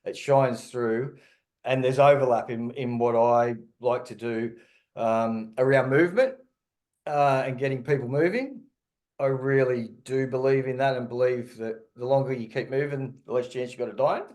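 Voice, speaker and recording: Podcast host, middle-aged male, mid-range voice, relaxed conversational interviewing. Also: medium pitch, normal speed.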